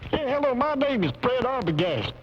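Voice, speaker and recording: A man's voice: extremely high pitch, sing-song, normal speed. A man playing an earnest, hapless amateur fisherman who rambles folksily as a caller in a comedy radio sketch. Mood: earnest, puzzled. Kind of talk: comic radio call-in sketch. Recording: muffled noisy caller line, cassette.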